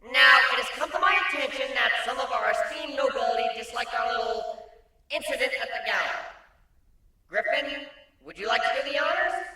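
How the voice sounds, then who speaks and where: A man voicing a theatrical male changeling showman in a story reading, with loud, drawn-out proclamations and a roomy echo. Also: very high-pitched, recorded from a distance, pitched above the performer's own voice, heavily acted. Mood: mock formal.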